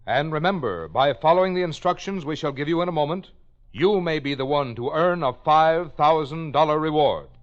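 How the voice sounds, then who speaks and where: Higher-voiced male 1940s radio announcer, clipped delivery. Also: lively intonation. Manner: clipped, deliberate fact-by-fact delivery. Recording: dull old radio recording.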